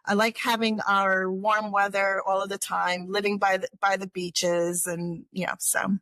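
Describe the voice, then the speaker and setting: A woman's voice: medium pitch, flat delivery, a little slow, fairly bright tone, no room sound. A middle-aged woman from New York, a guest in a casual interview, rambling with frequent restarts and laughs. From New York, United States.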